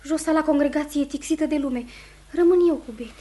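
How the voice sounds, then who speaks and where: A woman's voice: high-pitched, dark tone, natural voice. An actress playing a young Puritan niece in a radio drama, deferential but insistent as she protests her innocence. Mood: hushed, worried. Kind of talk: theatrical radio drama acting.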